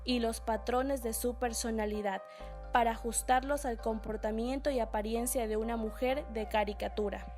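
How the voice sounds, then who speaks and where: The female voice-over opening a student documentary, fairly high, with music playing softly underneath. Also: steady tone, normal speed. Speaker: documentary narrator. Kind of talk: scripted narration.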